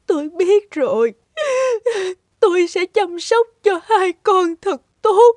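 Grieving folk-tale wife for animation: sobbing, drawn-out, very shrill pleas. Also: female voice, animated, darkish tone, no room sound, exaggerated voice. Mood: tearful wailing. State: crying. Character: tearful, wailing wife at husband's deathbed.

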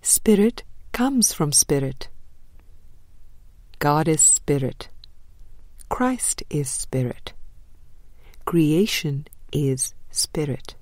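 A woman reading a spiritual book as an audiobook narrator, measured and deliberate, with frequent pauses. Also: low voice, big pitch swings, a little mellow, dry recording, in a whisper. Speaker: audiobook reader.

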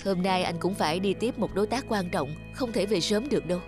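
A woman's voice: mid-range voice, animated, fairly quick, natural voice. A woman voicing a busy businessman father who talks curtly and impatiently in a cartoon, over background music. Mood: brusque. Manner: curt, impatient, bossy. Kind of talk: cartoon voice acting.

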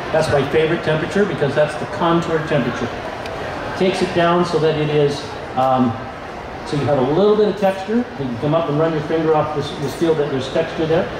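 Older man, casual demo talk, fillers, far from camera, noisy room. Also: on the high side. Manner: relaxed explaining, many fillers, handling pieces while talking. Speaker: glass artist giving a demonstration. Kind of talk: live craft demonstration. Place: large convention hall room. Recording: echoey hall, voice from far off.

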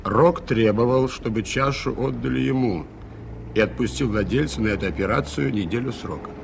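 An actor playing an earnest, skeptical aviator in a radio play, with a gravelly voice and a measured, formal, dryly ironic manner. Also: male voice, medium pitch, lightly acted. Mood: grave, explanatory. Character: earnest, skeptical gentleman aviator recounting a mystery. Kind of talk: Soviet radio play acting. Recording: faintly noisy 1980s radio recording.

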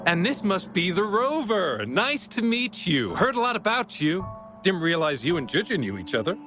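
An outgoing, teasing merchant from a video game. His voice is slightly high for a man and swings widely in pitch. He talks in a breezy, familiar way over background music. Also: big pitch swings, moderate pace, sharp tone, exaggerated voice. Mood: friendly greeting. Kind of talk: video game character acting.

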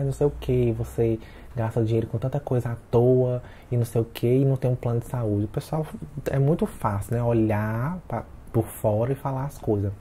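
A man's voice: medium pitch, warm tone, slight background noise. A man in his late twenties chatting casually to his viewers, talking straight to them and keeping them involved throughout. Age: 20s. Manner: chatty, keeps addressing viewers as "gente". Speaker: vlogger. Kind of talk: casual chat to camera.

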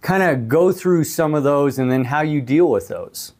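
A man's voice: a little high, animated, moderate pace, a little mellow. A man of 61 talking casually as a hiking vlogger and interviewer, recorded on a clip-on mic in a small hotel room. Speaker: vlogger, thru-hiker interviewing another hiker. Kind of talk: casual vlog talk to camera. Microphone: clip-on lavalier on the chest.

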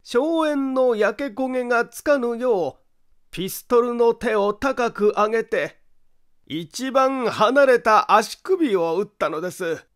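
A man voicing an eerie detective in an audiobook, slow, deliberate and insistent as he lays out a crime. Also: very high-pitched, animated, a bit bright, full character voice. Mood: accusing, deliberate. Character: eerie, slow, low-spoken male detective laying out a crime. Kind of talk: dramatic audiobook character acting.

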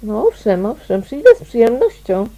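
An actress playing a polite woman newly moved in, in a radio drama, unhurried and often repeating words as if thinking aloud. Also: a little high, big pitch swings, highs cut off, a crowd in the background. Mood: polite, friendly.

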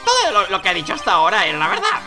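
Someone doing an excitable, swooping circus clown. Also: mid-range voice, rapid-fire, faint music behind, pitched above the performer's own voice. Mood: showy, excited. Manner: theatrical, stammering, exclamatory. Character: indignant, excitable male circus clown witness. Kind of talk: video game dialogue read aloud with character voices.

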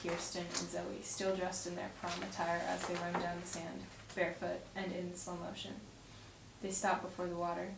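A young woman with a lowish voice reads stage directions from a script. She is recorded from across an echoey room, with clear noise behind her. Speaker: script reader at a table read. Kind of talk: reading stage directions aloud. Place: home dining room around a table. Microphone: far camera. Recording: roomy, distant mic, clear background noise.

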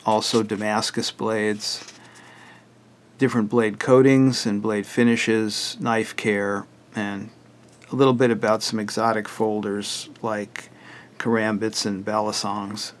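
Hoarse older man walking through his book. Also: lowish voice, flat delivery, slow. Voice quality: raspy, frequent throat clearing. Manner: plain, matter-of-fact, list-like explanation. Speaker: author presenting his book. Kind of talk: casual book walkthrough.

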